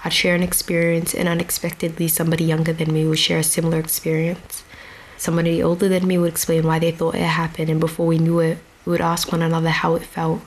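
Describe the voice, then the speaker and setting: Young woman, low voice, flat intonation, measured speech, outdoor stage, faint background noise. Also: low-quality recording. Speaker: speaker addressing an outdoor audience. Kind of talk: prepared storytelling speech. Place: outdoor venue with grass and a stage.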